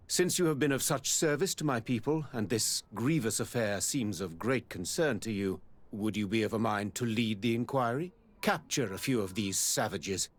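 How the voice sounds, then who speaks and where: A man playing a self-assured colonial governor in a video game cutscene, formal and eloquent with a genteel manner. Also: fairly low pitch. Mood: courteous, commanding. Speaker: governor character.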